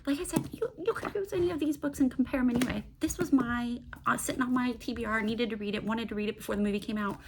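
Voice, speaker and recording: A woman with a creaky, fry-edged voice chats informally as a book vlogger. She rambles, breaks off mid-thought and asks herself questions. There is some noise in the recording behind her. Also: a little high, fast talker, few pauses. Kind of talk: casual book-talk vlog, unscripted chat.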